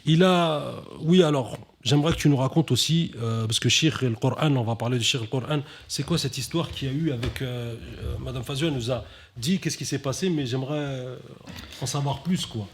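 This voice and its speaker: A man hosting a live talk, animated, who often drops Arabic religious phrases and lifts his voice to stress points. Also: brisk, husky. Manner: animated, rising pitch when stressing points. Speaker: conference host. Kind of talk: conversational talk on stage.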